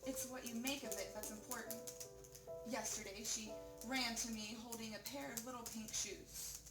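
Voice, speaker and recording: A woman playing a thoughtful, tender mother who narrates her blog in measured, deliberate phrases for an audio play. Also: a little high, steady tone, close to an everyday voice. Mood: reflective. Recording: roomy, distant mic under loud music. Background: loud music.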